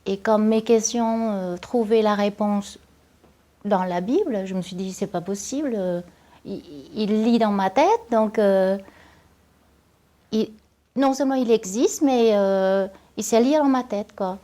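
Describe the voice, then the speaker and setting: A woman's voice: medium pitch, unhurried, dark tone. A young woman from Vietnam giving a personal testimony, speaking hesitantly with many fillers and restarts. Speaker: interviewee.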